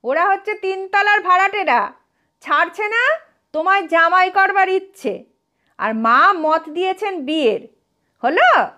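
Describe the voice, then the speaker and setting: Sharp-tongued sister-in-law voiced by a female reader, taunting, impatient, high and swooping, audiobook style. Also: lively intonation, a little slow, pauses often, heavily acted. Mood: mocking. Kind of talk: audiobook character voice.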